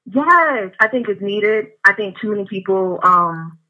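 Woman, New England accent, caller, friendly, rambling, very bright tone, tinny phone line. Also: mid-range voice, lively intonation, unhurried. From Connecticut. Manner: friendly, rambling with many fillers. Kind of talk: phone call to a talk show.

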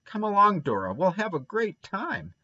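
A man's voice: high voice, big pitch swings, normal speed, bright, forceful tone, slightly rough, no echo, pitched above the performer's own voice, heavily acted. A man reading aloud and voicing a defiant, rebellious young boy who talks indignantly and boastfully, on a dull lo-fi recording.

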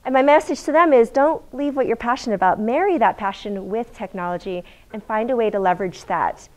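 A middle-aged woman lecturing in a university classroom, her voice loud and pitched a little high. She keeps it conversational, asking the audience questions, and she is picked up by a room mic from a distance. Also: brisk. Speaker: guest lecturer.

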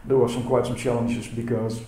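A man whose first language is Dutch gives a halting conference presentation. His tone is dark and mellow. The sound carries a roomy echo with faint background noise. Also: medium pitch, level tone. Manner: halting, many repeated words and fillers. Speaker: symposium speaker. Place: lecture hall. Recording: echoey hall with faint noise.

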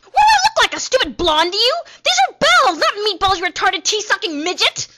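A woman's voice: very high-pitched, very animated, a little fast, very noisy. A woman voicing a brash, hot-tempered, combative teenage schoolgirl in a comedic anime parody. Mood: furious. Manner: snappy, combative.

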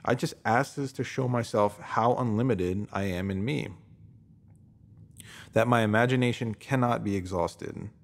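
A man narrating a scripted self-help text in an instructive, measured way, posing emphatic rhetorical questions. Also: lowish voice. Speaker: narrator reading a written post.

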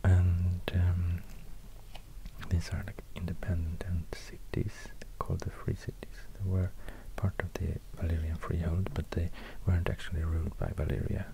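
A man with a Swedish accent murmurs ASMR narration in a low, even near-whisper. His delivery is halting and musing, close to a binaural microphone, with noise and soft clicks audible. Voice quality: hushed, breathy near-whisper. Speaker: ASMR video creator. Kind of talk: soft-spoken ASMR narration while drawing.

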